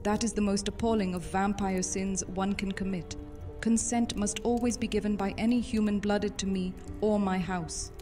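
A woman's voice: medium pitch, steady tone, normal speed, music in the background, close to an everyday voice. A woman playing a stern, commanding vampire who heads her own house, in a fantasy audio drama. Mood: grave. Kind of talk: immersive fantasy roleplay audiobook acting.

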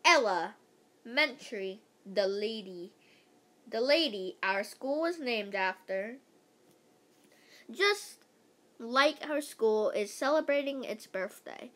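A female voice giving an animated read-aloud of a children's book, stumbling over words now and then and adding casual asides. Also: fairly high pitch, slow, long pauses.

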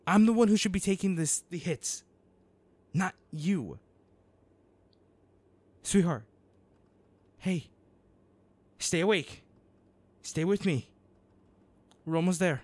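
An actor playing a protective, worried male bodyguard in an intimate, dramatic audio roleplay, with halting, emotional delivery. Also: high voice, expressive, a little mellow, no room sound, full character voice. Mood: upset, loving, then urgent, frightened.

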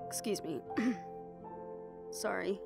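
A woman's voice: mid-range voice, slow, vocal fry, loud music behind the voice. A woman performing an audio roleplay, talking in casual, profane, wry banter.

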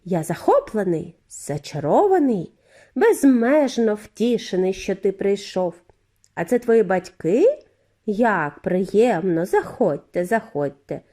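A woman voicing a giddy, eccentric factory owner in a storybook reading, with a high, clear, flute-like voice and effusive, drawn-out greetings. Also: big pitch swings, mellow. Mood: gushing. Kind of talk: audiobook character voice.

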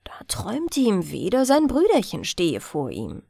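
Slow, lively female storyteller. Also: medium pitch, vocal fry, breathy edge, dry recording, studio quality. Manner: soothing, expressive storytelling. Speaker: audiobook narrator. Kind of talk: bedtime fairy-tale reading.

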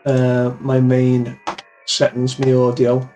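A man's voice: medium pitch, completely flat delivery, slow pace, dark tone, slight background noise. A man in his fifties giving an informal, step-by-step tutorial in a plain-spoken way, close on a studio mic. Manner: step-by-step, plain-spoken, addresses viewers as guys. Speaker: amateur radio video presenter. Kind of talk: informal software tutorial with demonstration. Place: small cluttered home office. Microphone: boom-arm studio mic with pop filter, close.

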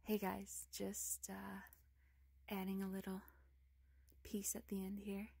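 A woman speaking softly and often whispering in a relaxed ASMR girlfriend roleplay, recorded indoors among bookshelves on a handheld phone. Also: medium pitch, slow, gravelly, slightly rough, dry recording. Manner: soft-spoken, drifting into whispers.

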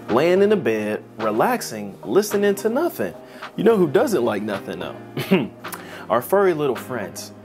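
A man hosting a comedy video to camera on a lapel mic in a studio, speaking in a playful, conversational way. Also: mid-range voice. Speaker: host. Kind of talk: scripted to-camera presenting. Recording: voice with light music underneath.

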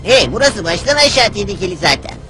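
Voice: medium pitch, big pitch swings, fast, pushed and bright, faint music behind. An actor playing a cocky, carefree young male hedgehog hero in a cartoon dub, brash and flippant. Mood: defiant. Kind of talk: animated cartoon dub acting.

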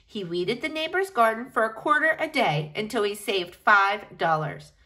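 A woman reading a picture book aloud to children in an expressive, animated way, speaking directly to her young listeners. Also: a little high, normal speed.

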